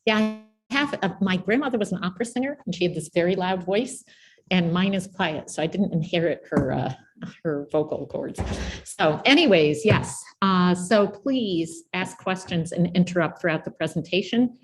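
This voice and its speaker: Woman, quiet voice, middle-aged, lowish pitch, friendly lecture. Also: normal speed, slight background noise. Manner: soft-spoken, friendly, informal with fillers. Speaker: guest speaker. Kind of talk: public science talk.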